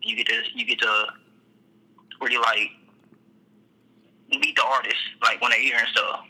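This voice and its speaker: A man, a rapper, talking about his music in a casual phone interview, laid-back and halting, often repeating words. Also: medium pitch, sharp tone, husky. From Texas, USA. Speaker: rapper guest. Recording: tinny phone line.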